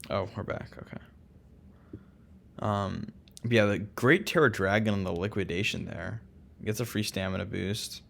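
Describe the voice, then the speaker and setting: A man casually commentating a game recap, relaxed and full of ums and restarts. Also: lowish voice, moderate pace, creaky, pauses often, a little hiss or hum. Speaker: co-host of a league recap.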